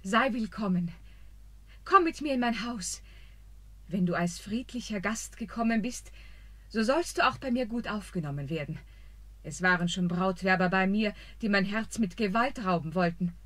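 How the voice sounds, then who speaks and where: Brief: a stately young queen, husky edge, radio play. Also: medium pitch, expressive, normal speed, fairly bright tone. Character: gracious young queen, proud but kind.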